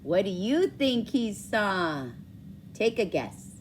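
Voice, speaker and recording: A woman reading a children's story aloud expressively, with dramatic emphasis and drawn-out words. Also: mid-range voice, very animated, a little hiss or hum. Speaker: storybook reader for schoolchildren.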